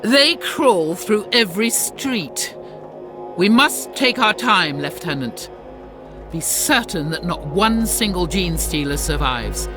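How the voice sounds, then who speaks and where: A woman playing a zealous, stern warrior sister in a game, speaking in solemn, pious, formal lines with music behind her. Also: medium pitch. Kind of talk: dramatic scripted game narration and dialogue.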